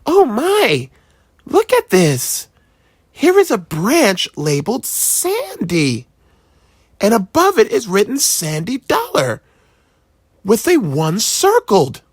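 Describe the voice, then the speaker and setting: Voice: medium pitch, wildly swooping pitch, takes it slowly, breathy edge, no room sound, studio quality, voice pushed up, heavily acted. A storyteller voicing an amazed mother who exclaims over what the family finds. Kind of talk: children's storybook read-aloud.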